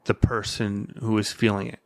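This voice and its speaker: A man's voice: lowish voice, little pitch movement, moderate pace. Earnest man talking about self-belief. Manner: earnest, speaks like giving a speech; stutters at times. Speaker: podcast guest. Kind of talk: casual conversation.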